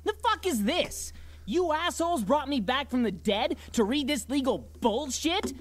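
Anime parody vampire, male actor: undead, vulgar, cocky, irate, very animated pitch. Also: a little slow, a crowd in the background. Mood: indignant. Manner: profane, sneering, theatrical delivery.

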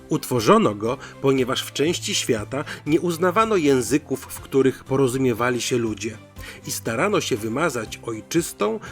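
A male host tells a folk tale with dramatic flair. His mid-pitched voice moves widely up and down as he narrates, with soft music underneath. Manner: dramatic, theatrical storytelling. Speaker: storyteller, host.

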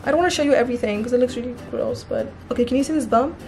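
A woman's voice: on the high side, very fast, darkish tone, soft background music. A young woman in her twenties with an Arabic-influenced accent, talking crudely and jokingly to camera in her bedroom. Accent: Arabic-influenced English. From Middle East. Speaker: vlogger. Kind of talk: casual vlog chat. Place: bedroom, indoors.

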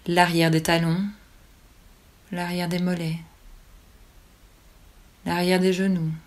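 A woman leading a guided relaxation as a yoga nidra teacher, calmly and soothingly, with long pauses between short phrases. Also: on the low side.

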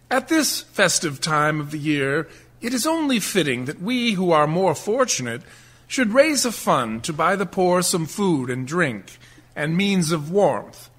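An actor playing an earnest, genteel charity collector in a radio play, speaking with polite formality. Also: male voice, fairly high pitch, pushed and bright, full character voice.

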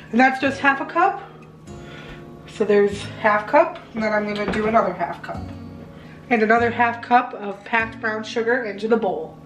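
A young adult American woman talking through a recipe in a chatty, step-by-step home tutorial style. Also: a little high. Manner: chatty, instructive. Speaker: home baking vlogger. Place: home kitchen, indoors. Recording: roomy kitchen echo.

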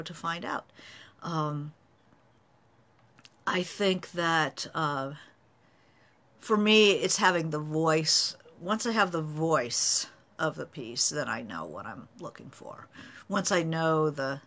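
Middle-aged novelist, casual webcam talk from a study; bright, slightly gravelly voice, thinks aloud. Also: female voice, deep voice, expressive, slow pace. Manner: thoughtful, gestures a lot, many fillers. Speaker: novelist answering writing questions. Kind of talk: casual live-stream talk. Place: home study with bookshelves.

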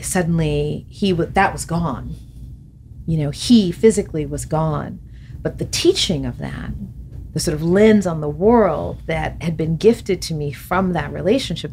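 A woman's voice: low-pitched, slight background noise. A middle-aged woman, a podcast guest and author, talking reflectively in a studio interview, gesturing as she speaks and laughing often. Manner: thoughtful, animated hand gestures, laughs often. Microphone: studio mic on boom arm.

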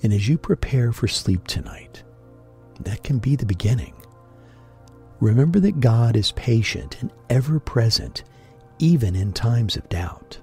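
A man guiding a sleep meditation in a gentle, deliberate, breathy voice with long pauses. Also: mid-range voice, expressive. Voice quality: husky, breathy. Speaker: meditation guide and host. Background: music.

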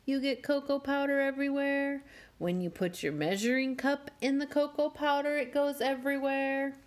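A woman hosting a home cooking channel, walking through a cake recipe step by step with gently wry asides. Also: fairly high pitch. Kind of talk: calm step-by-step baking instruction. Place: home kitchen.

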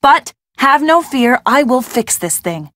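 A woman's voice: high-pitched, very animated, unhurried, a bit bright, no echo, exaggerated voice. An actress playing a spirited, earnest young woman in a video game, a loyal and anxious friend who is wry and plucky. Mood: wry, determined.

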